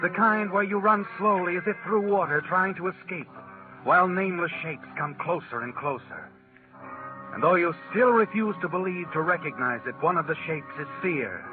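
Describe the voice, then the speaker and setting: Casting: man as sinister suspense narrator, slightly high male voice, 1940s radio, tinny with loud music underneath. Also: moderate pace, lightly acted. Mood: ominous, knowing. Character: ominous, taunting male storyteller of suspense tales.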